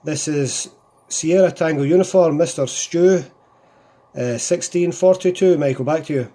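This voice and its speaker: A Scottish man speaks as a hobby radio operator in a casual contact. His voice sits a little high with a very mellow, soft-edged tone. He repeats phrases and leans on radio procedure words. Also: unhurried, a little hiss or hum. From Scotland.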